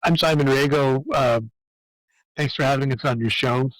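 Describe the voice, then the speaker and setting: A man's voice: mid-range voice, fairly even intonation, normal speed, no room sound, rough recording. A man in his fifties from Canada, chatting in a friendly way as an interview guest. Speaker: guest clinical psychologist. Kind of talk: interview conversation.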